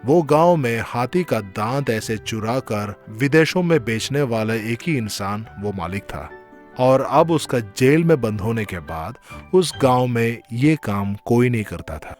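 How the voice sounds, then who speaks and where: A man's voice: mid-range voice, animated, measured pace. A man telling a scripted children's story as its narrator. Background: music.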